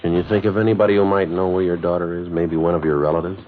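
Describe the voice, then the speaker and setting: An American actor playing a terse, businesslike police sergeant in a 1950s radio drama, clipped and matter-of-fact. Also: male voice, low-pitched, little pitch movement, fast talker, a little hiss or hum, close to an everyday voice. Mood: polite, businesslike. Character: terse, businesslike male police sergeant, narrating his case. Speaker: detective and narrator. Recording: dull vintage 1950s radio broadcast.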